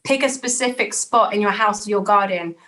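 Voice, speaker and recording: A woman's voice: medium pitch, fairly quick, a bit bright. A woman, speaking earnestly and reflectively as a podcast guest and repeating phrases for emphasis. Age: 30s. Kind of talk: candid personal interview.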